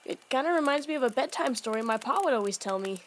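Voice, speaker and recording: A woman's voice: high voice, lively intonation, quick pace, a little hiss or hum. A woman voicing a small, earnest young fox-demon boy in a fan audio drama, telling things in a simple, childlike way. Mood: earnest, sleepy.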